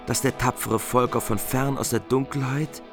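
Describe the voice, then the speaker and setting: A man's voice: medium pitch, steady tone, moderate pace, lightly acted. A man delivering scripted literary narration in a radio play. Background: music.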